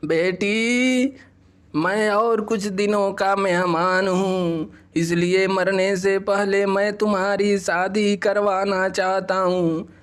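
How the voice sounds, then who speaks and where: Woman playing slow, weary, sick old father. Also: medium pitch, fairly even intonation, pitched below the performer's own voice, natural voice. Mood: frail, earnest. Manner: slow, weak, resigned.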